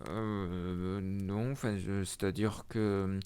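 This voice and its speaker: A man's voice: deep voice, level tone, slow pace, dark tone, smooth, voice pushed down. A man voicing a polite vampire hunter hero who stammers under pressure. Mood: flustered.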